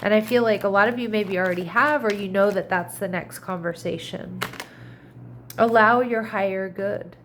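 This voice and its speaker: A woman's voice: medium pitch, normal speed, fairly bright tone, a little hiss or hum. Chatty, slightly congested woman tarot reader. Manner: chatty, reassuring, thinks aloud with restarts. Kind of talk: casual tarot reading to camera.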